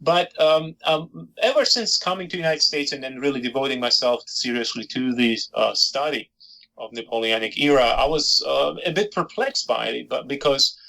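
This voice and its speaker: A middle-aged man, a historian from Georgia whose first language is Georgian, answering interview questions thoughtfully with many pauses and fillers. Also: mid-range voice, gravelly, sharp tone, rough recording. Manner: thoughtful, hesitant with frequent fillers. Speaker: historian, author.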